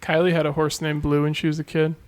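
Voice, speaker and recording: A man co-hosting a podcast in casual chat, making dry, deadpan jokes. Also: a little high, quick pace, smooth. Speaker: podcast co-host.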